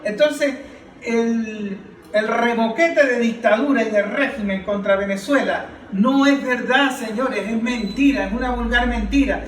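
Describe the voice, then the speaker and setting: A man's voice: very high voice, a little slow, reverberant, distant mic, rough recording. A man giving impassioned political commentary as a podcast panelist, emphatic and declamatory, repeating phrases for force.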